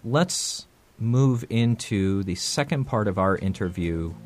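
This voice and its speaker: A man's voice: fairly low pitch, animated, slow pace, no room sound. A man hosting a podcast, talking things through in a thoughtful, hesitant way and often repeating his words. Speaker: podcast host. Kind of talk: casual discussion.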